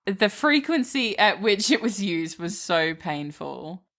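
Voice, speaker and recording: A woman podcast co-host chatting casually about books in a blunt, slangy, expressive way, with some swearing. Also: medium pitch, takes it slowly. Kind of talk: casual book chat.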